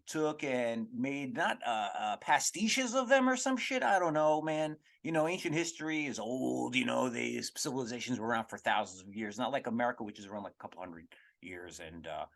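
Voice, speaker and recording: A man hosting a podcast in a casual, comedic monologue, rambling, repeating himself for emphasis and swearing freely as he answers questions. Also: medium pitch. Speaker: podcast host.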